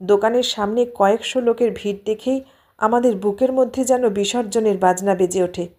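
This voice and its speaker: A woman narrates a literary story in a medium-pitched voice. Her reading is dramatic and emotive, shaped like an audiobook performance. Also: moderate pace, a little mellow.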